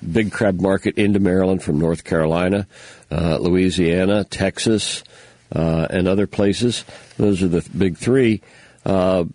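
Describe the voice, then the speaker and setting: A man's voice: sits low, dark tone, no room sound. An older man hosting a local radio show, talking casually in a folksy, rambling way with lots of fillers, sounding stuffy. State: stuffy nose. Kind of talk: casual on-air talk, reading news items.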